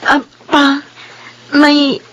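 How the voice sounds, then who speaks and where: Filipino teen daughter, radio drama. Very high-pitched, anxious, raw feeling, noisy recording. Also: female voice, monotone, drawn-out words, fairly bright tone, heavily acted. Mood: pleading. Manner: emotional, hesitant, tearful. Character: distressed teenage schoolgirl, anxious daughter and twin sister. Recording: clearly noisy background.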